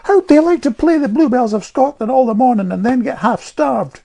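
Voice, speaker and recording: A woman's voice: high voice, lively intonation, moderate pace, mellow, voice pushed up. A woman voicing a sullen, stubborn little girl with blunt, childish speech in a storybook reading. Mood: bitter.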